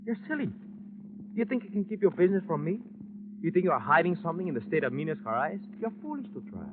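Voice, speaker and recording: Cheeky chauffeur, broken English, quick, animated, vintage radio drama, muffled sound. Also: male voice, high-pitched. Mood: teasing, knowing. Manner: chatty, playful, broken English. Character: cheeky boastful Brazilian driver, self-styled poet philosopher. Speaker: driver. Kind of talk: 1950s radio acting. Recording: muffled noisy old radio, vehicle hum.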